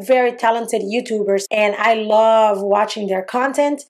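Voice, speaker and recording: A Brazilian woman whose first language is Portuguese, talking straight into a webcam at home. Her voice sits fairly high, and she tells her story with lively, expressive animation. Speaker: YouTuber. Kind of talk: story time vlog. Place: indoors, small home room. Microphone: webcam framing, seated close to camera.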